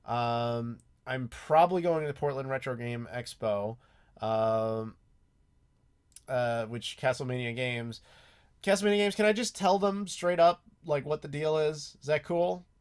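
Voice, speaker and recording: A man's voice: medium pitch, a little slow, clean tone. Chatty man rambling on a live stream. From United States. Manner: chatty, rambling, lots of ums and restarts. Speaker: YouTube host answering live chat. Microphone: desk mic with clacking mechanical keyboard.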